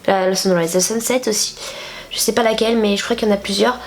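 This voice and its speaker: A woman's voice: mid-range voice, steady tone, fast. A young woman with a cold and a nasal voice, chatting casually through a makeup tutorial with lots of fillers. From France. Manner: chatty, rambling with many fillers. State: stuffy nose from a cold. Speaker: beauty vlogger.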